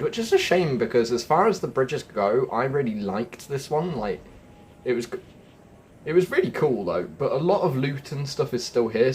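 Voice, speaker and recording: A man's voice: medium pitch, a little hiss or hum. A man giving casual gameplay commentary as a video host, informal and a little stumbling in how he talks.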